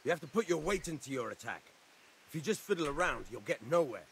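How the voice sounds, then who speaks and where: A seasoned medieval sword teacher from a video game, brisk and blunt. His pitch moves in a lively, wide range as he instructs, with some hiss on the audio. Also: gravelly. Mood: brisk, instructing.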